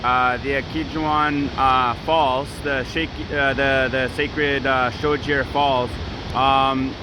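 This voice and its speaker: A man conducting an informal field interview, asking questions in a halting, tentative way with many pauses. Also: medium pitch, flat delivery. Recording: clear outdoor background noise.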